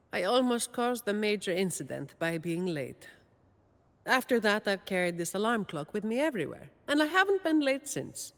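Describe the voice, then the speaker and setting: A woman playing a weary, dutiful young office employee in a video game, delivering scripted monologue in a dry, deadpan way. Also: lowish voice, in a large hall. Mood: dry, matter-of-fact.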